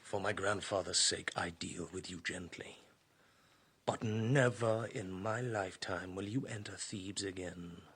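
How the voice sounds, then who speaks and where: An actor playing a proud, contemptuous young king in a classical radio drama, with a husky voice and an imperious, declamatory manner. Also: low-pitched, a little slow, a bit bright, full character voice. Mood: cold restraint. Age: young adult.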